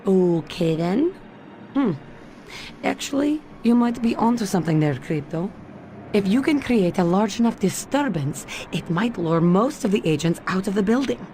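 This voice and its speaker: A woman's voice: mid-range voice, lively intonation, normal speed, warm tone, a little hiss or hum, natural voice. A woman voicing a capable Russian secret agent in a video game, with a Russian accent and a composed, teasing manner. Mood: businesslike. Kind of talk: video game cutscene acting.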